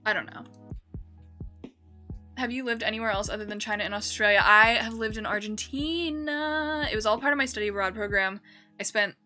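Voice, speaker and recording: A woman's voice: fairly high pitch, fairly quick, pushed and bright, faint music behind. A young woman streamer chatting casually while building in a game, rambling and correcting herself with lots of fillers.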